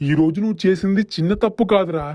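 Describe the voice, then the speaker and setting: A man voicing a stern, righteous village policeman who scolds, in an animated moral story. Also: sits high, racing, highs cut off, natural voice. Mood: stern anger.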